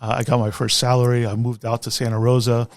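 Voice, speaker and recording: A man's voice: mid-range voice, little pitch movement, fast talker, no room sound. A middle-aged man from San Francisco, a guest who tells his career story in an easygoing, reflective way and laughs at himself. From San Francisco, USA. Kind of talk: interview storytelling.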